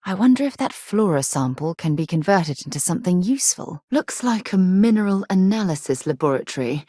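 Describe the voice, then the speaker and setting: A woman's voice: fairly low pitch, animated, a little fast, dark tone, no room sound, studio quality. An actress voicing a composed, curious woman space explorer in a video game, articulate and sincere. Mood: curious, observant. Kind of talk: scripted video game voice acting.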